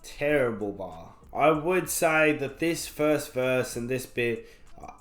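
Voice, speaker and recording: Croaky young man, rambling casual music review, echoey room. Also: mid-range voice, lively intonation, takes it slowly, a bit bright. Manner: rambling, candid, lots of fillers. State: croaky voice after illness. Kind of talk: casual album reaction review. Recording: roomy echo.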